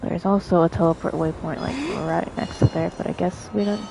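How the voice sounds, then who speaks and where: A woman's voice: on the low side, fairly even intonation, fairly quick, gravelly, noisy background. A woman game streamer chatting casually on a livestream, offhand and half talking to herself. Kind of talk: casual livestream chat, reading game lines aloud.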